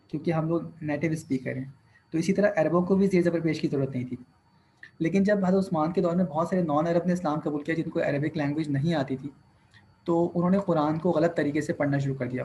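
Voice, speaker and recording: Man, classroom teacher, slow deliberate dictation, fairly high voice, faint background noise. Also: a little mellow, low-quality recording. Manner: mixes English dictation with Hindi-Urdu explanation, slow and deliberate when dictating. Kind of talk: classroom lecture, dictating notes.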